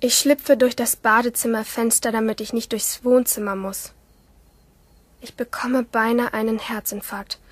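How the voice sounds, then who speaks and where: A woman's voice: fairly high pitch, steady tone, normal speed, dry recording, lightly acted. A woman playing a rebellious, lonely teenage girl who narrates her thoughts intimately in a German radio play. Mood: hesitant, nervous.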